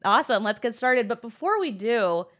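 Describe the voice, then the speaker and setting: A young woman hosting a casual interview show, talking fast and earnestly, her voice stuffy and nasal from a sinus infection. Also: a little high, very animated, a bit bright. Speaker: talk show host.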